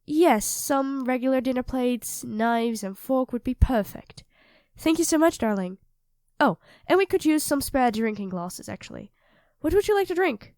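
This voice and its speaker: A woman plays a young girlfriend with a high-pitched voice, recorded close and dry. She is doting and playful, teasing her partner with expressive turns of phrase. It has the intimate feel of an ASMR roleplay audio drama. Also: normal speed, dry recording. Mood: affectionate, chatty. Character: affectionate, teasing young live-in girlfriend cooking dinner.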